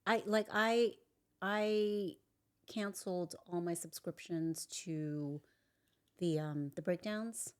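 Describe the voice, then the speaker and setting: A woman actor in casual chat, talking hesitantly and trailing off softly at the ends of her thoughts. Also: lowish voice, slow pace, clear, resonant tone. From Filipino.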